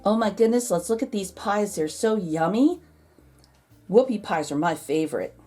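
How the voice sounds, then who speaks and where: A woman's voice: mid-range voice, lively intonation, normal speed, warm tone, soft background music. A woman host reading a children's storybook aloud expressively, drawing out key words for emphasis. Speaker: children's picture book read-aloud host.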